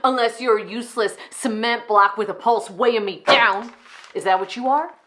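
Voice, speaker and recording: A woman's voice: mid-range voice, huge pitch swings, takes it slowly, background noise. Woman acting a volatile, raging narcissistic megachurch boss. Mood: pleading, menacing. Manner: ranting, mocking, swinging from scorn to outburst. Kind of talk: dramatic solo monologue acting.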